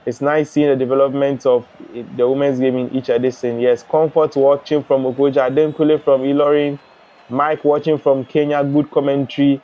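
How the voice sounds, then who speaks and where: A young man from Ghana hosting a live football watch-along, chatting and reading out viewer comments in a relaxed way. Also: mid-range voice, normal speed, dull audio. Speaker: live stream host.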